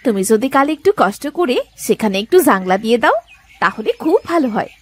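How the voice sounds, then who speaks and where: A caring, sharp-tongued village housewife from a cartoon moral tale. Her voice is high and very lively in pitch. She delivers every line like expressive domestic drama. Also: a little fast, slightly rough. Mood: caring, friendly. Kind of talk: Bengali moral-story cartoon dubbing.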